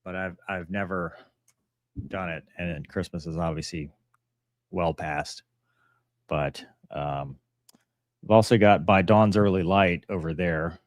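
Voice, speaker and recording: A man's voice: low voice, takes it slowly, pauses often, no room sound. A man hosting a casual live question-and-answer stream, talking in a rambling, matter-of-fact way that often trails off. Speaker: live stream host answering viewer messages; pilot and author.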